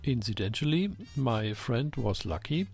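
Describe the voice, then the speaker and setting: A man narrates a scripted technical explainer in a methodical way. His pitch is average and his delivery is slow, with a noticeable gravelly fry in the voice. Soft music plays faintly underneath. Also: animated. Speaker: host of a ham radio electronics channel.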